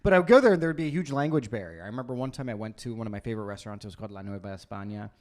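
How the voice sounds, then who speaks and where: A man co-hosting a casual comedy podcast, chatting in an animated, jokey way and prone to laughter. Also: fairly low pitch, racing. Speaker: podcast co-host.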